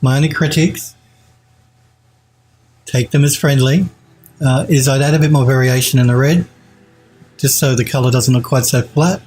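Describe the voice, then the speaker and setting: Man, 40s, stuffed-up nasal head cold, warm-toned enthusiastic streamer, faint music behind. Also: mid-range voice, fairly even intonation, normal speed, pauses often. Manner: enthusiastic, repeats praise, restarts. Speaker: 3D art streamer reviewing viewer work. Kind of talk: casual live-stream critique. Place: home streaming room, indoors.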